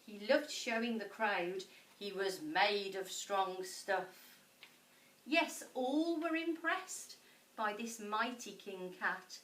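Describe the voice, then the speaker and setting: A woman reading a picture book to children in an expressive, dramatic style with deliberate pauses. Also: medium pitch. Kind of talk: expressive storybook narration for children. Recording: echoey room, distant mic.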